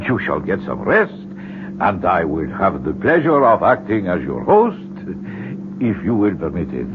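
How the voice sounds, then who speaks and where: Courteous latin american guide, very swoopy theatrical delivery, formal, old tinny radio play. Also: male voice, low-pitched, big pitch swings, measured pace, background noise. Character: courteous, cautious Latin American man, expedition host and guide. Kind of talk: 1970s radio drama acting. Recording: muffled old radio broadcast, phone-like.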